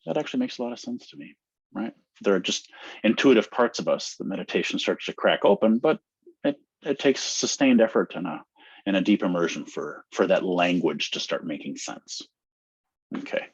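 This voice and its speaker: A man's voice: on the low side, husky, no room sound. A man giving an informal meditation talk, speaking conversationally and often asking "right?" to his listeners. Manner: conversational, frequent "right?" and "you know". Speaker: meditation teacher. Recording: dull, lo-fi dry recording.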